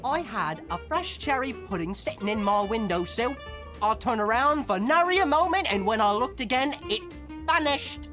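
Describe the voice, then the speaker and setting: Melodramatic housewife played by a woman, flustered, highish and very animated, music underneath. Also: normal speed, hardly any pauses, close to an everyday voice. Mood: aghast. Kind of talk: audio drama acting.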